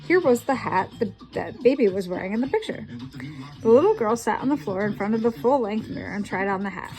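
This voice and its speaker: A woman reading a children's picture book aloud in a slow, expressive storytelling manner. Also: fairly high pitch, over music, poor audio.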